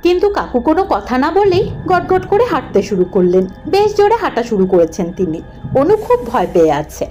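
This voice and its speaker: A woman narrating a scripted horror cartoon story in the first person, dramatic and expressive. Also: high-pitched, normal speed, dull audio, faint music behind.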